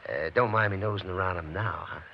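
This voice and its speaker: An actor playing a shifty, nervous outlaw drifter with folksy, evasive speech in a 1950s western radio drama. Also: male voice, deep voice, quick pace, gravelly. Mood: sly, nervous. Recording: muffled, noisy old 1950s radio recording.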